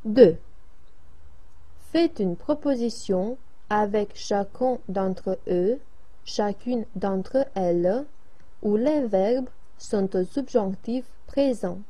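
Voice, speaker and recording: A woman slowly reading French lesson exercises aloud in an even, careful way. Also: medium pitch. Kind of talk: slow read-aloud of dialogues and exercises.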